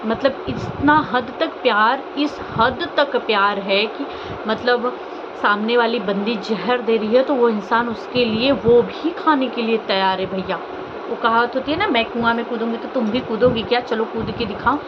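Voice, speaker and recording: A woman's voice: fairly high pitch, normal speed. A woman from India vlogging informal, opinionated commentary to viewers in an emotive way, with rhetorical questions and repetition. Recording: dull lo-fi audio, loud vehicle noise.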